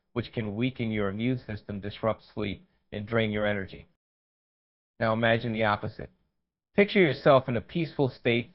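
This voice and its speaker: A man reading a scripted guided meditation in a measured, reassuring, instructional manner. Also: mid-range voice, highs cut off.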